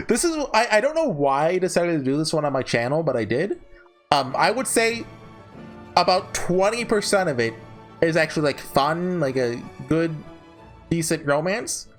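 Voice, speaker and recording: This is a man with a fairly high-set voice and very lively intonation. He speaks like a YouTube host giving casual commentary, rambling and opinionated, with light music playing behind him. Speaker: YouTuber host ranking visual novels. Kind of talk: casual off-the-cuff review commentary.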